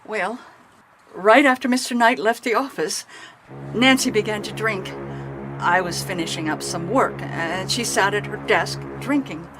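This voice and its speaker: An actress playing a guarded, nervous office worker in a radio mystery, speaking carefully and defensively. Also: female voice, medium pitch, unhurried, over music, natural voice. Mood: reluctant, earnest. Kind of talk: radio mystery drama acting. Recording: noisy radio drama recording.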